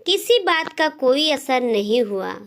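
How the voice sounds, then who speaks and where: A woman narrating a scripted moral story as a storyteller, in deliberate phrases with frequent pauses. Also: high-pitched, sing-song.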